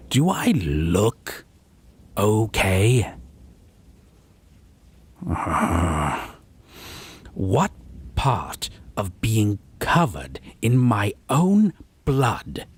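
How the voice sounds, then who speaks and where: A man playing a possessive, wounded vampire in a roleplay audio drama, speaking with mocking refinement, theatrical phrasing and a breathy, husky voice. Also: on the low side, exaggerated voice. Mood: wounded indignation. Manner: pedantic, sardonic, theatrical, lingering phrasing.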